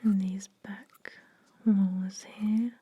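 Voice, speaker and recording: A woman playing a soothing, sly vampire dentist in an ASMR roleplay, speaking gently and deliberately in a breathy whisper. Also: mid-range voice, level tone, highs cut off. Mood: soothing, focused. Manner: slow, gentle, deliberate, softly reassuring.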